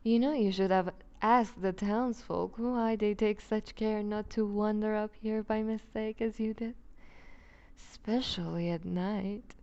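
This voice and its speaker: A woman playing a seductive, possessive vampire duchess in an ASMR roleplay, speaking with deliberate, teasing condescension and breaking into whispers. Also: mid-range voice, steady tone, mellow, clear, resonant tone, lo-fi sound, lightly acted. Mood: mocking. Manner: slow, deliberate, purring condescension with whispered phrases. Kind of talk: ASMR roleplay audio drama.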